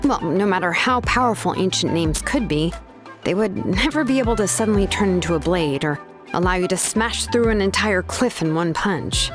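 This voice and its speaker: Woman acting a lively, laid-back young craftswoman. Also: lowish voice, moderate pace, over music, natural voice. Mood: thoughtful, reassuring. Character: laid-back, matter-of-fact young craftswoman and name engraver. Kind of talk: video game voice acting, explanatory dialogue.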